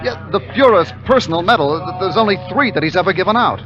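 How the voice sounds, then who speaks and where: An actor playing an army captain telling of a war raid in a terse, dramatic 1950s radio style. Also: male voice, high voice, animated, fast talker. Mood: stunned. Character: army captain narrating his war raid, steady, wry. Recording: muffled 1950s radio recording, music behind.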